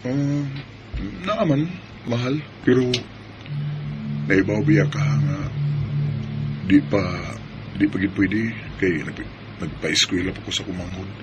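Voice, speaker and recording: A man's voice: fairly low pitch, slow pace, long pauses. A man acting a devoted but evasive young boyfriend in a Filipino radio drama. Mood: hesitant. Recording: noisy amateur recording.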